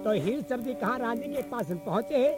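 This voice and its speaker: A man. He performs as a traditional bard, alternating sung verse with narration, while an instrument plays clearly along on a field cassette recording. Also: extremely high pitch, animated, a little fast, over music. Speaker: traditional folk storyteller and singer. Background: musical instrument accompaniment.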